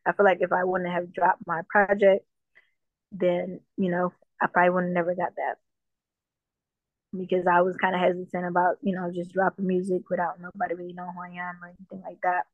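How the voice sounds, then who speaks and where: A woman from Jamaica talks casually as an interview guest, relaxed and full of fillers. Her voice sits low and stays fairly flat. The recording is dull and dry, with little room sound. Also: a little fast, dry recording. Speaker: musician guest.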